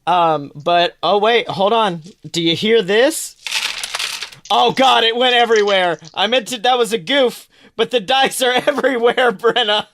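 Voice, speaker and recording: A man hosting a podcast in casual chat, talking in an excitable, slangy, sweary way. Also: high-pitched, a little slow, a little hiss or hum. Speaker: podcast host.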